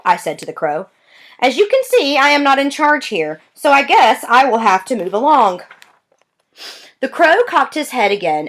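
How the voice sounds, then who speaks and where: A woman reading a children's novel aloud with expressive storytelling. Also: mid-range voice. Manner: expressive storytelling with lively voice changes. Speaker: reader.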